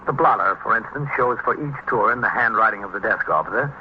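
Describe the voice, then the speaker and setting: An actor playing a steady, commanding American police captain in an old-time radio drama, speaking in a measured, matter-of-fact way. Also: male voice, fairly low pitch, lightly acted. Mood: measured, official. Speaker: narrator and lead character. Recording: muffled old radio recording, faint noise.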